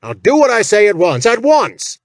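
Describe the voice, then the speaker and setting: A man's voice: high-pitched, wildly swooping pitch, normal speed, dry recording, clean studio sound, voice pushed up, full character voice. A man voicing a bossy male orc overseer who bellows orders, repeating himself. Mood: bellowing orders.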